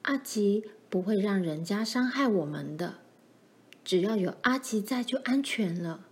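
A woman voicing a frightened but brave young child in a storybook, in urgent, hushed, hoarse whispers. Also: on the high side, moderate pace. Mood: hushed, reassuring.

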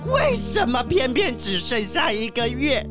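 A woman's voice: sits high, sing-song, unhurried, music in the background. A woman voicing a melodramatic, heartbroken male painter in a children's radio drama, wailing self-pityingly. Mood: despairing, tipsy.

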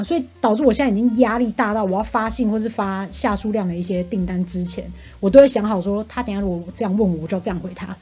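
一位年輕的台灣女性，台灣腔中文，像在播客裡閒聊抱怨，講話直白又愛感嘆。. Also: female voice, mid-range voice, warm tone, slightly rough. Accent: Taiwanese Mandarin. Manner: talkative, exclamatory, bluntly complaining. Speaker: podcast co-host venting about work. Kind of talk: casual chat.

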